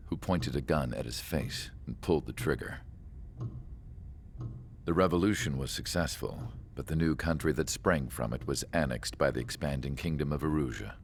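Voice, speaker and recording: A wistful, measured storyteller with a very deep, gravelly voice. He looks back on the past in calm narration for a game cutscene, with faint noise behind him.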